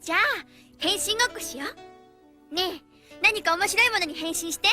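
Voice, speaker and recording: A woman's voice: very high-pitched, racing, bright, forceful tone, soft background music, exaggerated voice. A woman voice actor playing a spoiled, whining, demanding childlike character in a Japanese anime film. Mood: wheedling.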